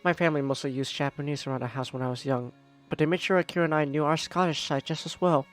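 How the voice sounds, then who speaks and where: A man voicing a polite, soft-spoken young blind woman as he reads a visual novel aloud, in a gentle, measured and courteous way. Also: fairly high pitch, steady tone, faint music behind, lightly acted. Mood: calm.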